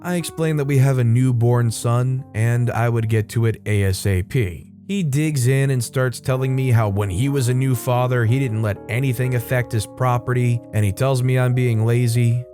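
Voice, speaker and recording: A man's voice: mid-range voice, moderate pace, few pauses. A young man casually narrating online stories, adding his own wry commentary between them. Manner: chatty, wry, animated asides. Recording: faint music behind.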